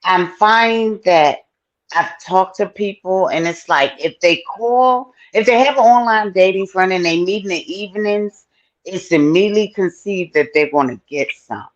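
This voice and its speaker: A woman's voice: mid-range voice, moderate pace, highs cut off. A woman in her fifties, a host, talking bluntly and playfully in a group chat. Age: 50s. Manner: blunt, playful, laughs often. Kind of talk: casual group chat on a talk show.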